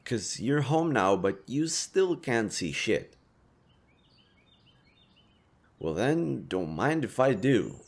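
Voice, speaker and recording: A man's voice: mid-range voice, full character voice. A man acting a kind, teasing young stranger who guides a lost girl, speaking casually to the listener in an intimate roleplay audio drama. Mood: casual, helpful. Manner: casual, playfully teasing, mildly awkward, swearing.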